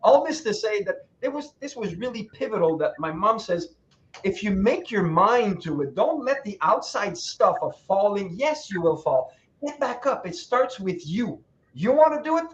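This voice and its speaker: A man's voice: sits high, moderate pace. A man in his sixties telling motivational stories as a guest speaker. He talks with energy, laughs as he goes and acts out the people he quotes. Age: 60s. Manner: animated, laughing asides, acts out quoted voices. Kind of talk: motivational storytelling. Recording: dull lo-fi sound.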